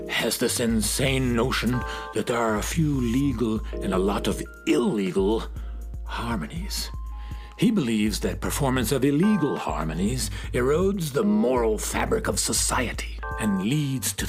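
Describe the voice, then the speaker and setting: A gentle music-teacher drum from a children's radio play, acted by a man. The delivery is earnest and fretful, with a widely varied melody and a plaintive edge. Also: mid-range voice, big pitch swings, unhurried. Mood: earnest, upset.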